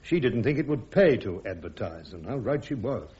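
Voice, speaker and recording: An actor playing a defiant British army major defending himself in a radio courtroom drama, with a clipped, sardonic, insistent manner. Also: male voice, mid-range voice, very animated, normal speed, a little mellow, close to an everyday voice. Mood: defiant, wry. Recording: dull radio drama recording.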